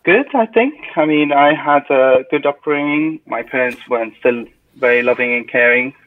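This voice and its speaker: A young man answers interview questions in a soft, thoughtful way, now and then restarting a phrase. His voice sits at a middle pitch for a man. It comes through thin and tinny, like a phone call. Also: normal speed, slight background noise. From Syria. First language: Arabic. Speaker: podcast guest. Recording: tinny phone-line sound.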